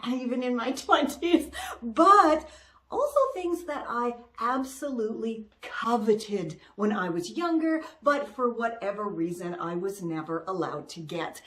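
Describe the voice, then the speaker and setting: A middle-aged woman with a fairly high voice walks through a sewing project in an unhurried, chatty way. She is bubbly and excitable and breaks into laughter often, though a cold leaves her nose stuffed up. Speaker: sewing tutorial host. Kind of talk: chatty step-by-step craft tutorial.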